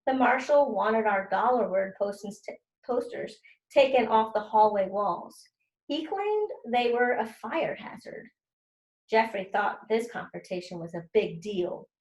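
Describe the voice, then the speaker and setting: A woman's voice: medium pitch, expressive, measured pace, a little mellow. A woman teacher doing a children's read-aloud, explaining things simply and speaking directly to her students. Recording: roomy, echoey sound.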